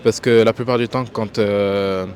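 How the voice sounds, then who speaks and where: Low, flat-voiced young man. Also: slight background noise. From Morocco. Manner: earnest, hesitant with many pauses. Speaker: passerby interviewed on the street. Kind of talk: street interview answers. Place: outdoors on a city street.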